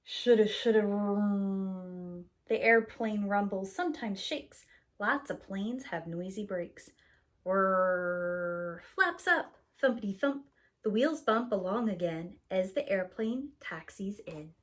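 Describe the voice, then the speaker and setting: A woman's voice: medium pitch, slow pace. A woman reading a children's story aloud expressively, with a rhythmic delivery and acted-out sound words. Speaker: preschool teacher reading a picture book aloud.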